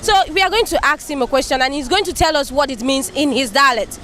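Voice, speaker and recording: High-voiced woman street interviewer. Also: lively intonation, fast talker, bright, forceful tone. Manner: upbeat, repeats thanks often. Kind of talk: street vox-pop interview. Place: outdoors on a busy street. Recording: street crowd noise behind.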